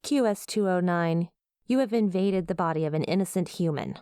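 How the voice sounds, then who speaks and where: A woman's voice: on the low side, normal speed, dark tone, smooth, dry recording, studio quality, lightly acted. A woman playing a stern, cold scientist in an audio roleplay, speaking in a clipped, formal, clinical way. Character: stern, cold female scientist interrogating a monster.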